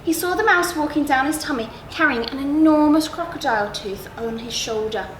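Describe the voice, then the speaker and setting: A woman narrating a children's bedtime story in an expressive, deliberate storytelling manner with stressed words. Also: sits high. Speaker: story reader at a school. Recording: roomy echo, clear background noise.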